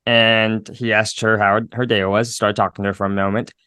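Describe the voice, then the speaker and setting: A man hosting a podcast who tells anecdotes in a relaxed, affirming way. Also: lowish voice, moderate pace, clean tone, no room sound, clean studio sound. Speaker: podcast host. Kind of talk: conversational storytelling.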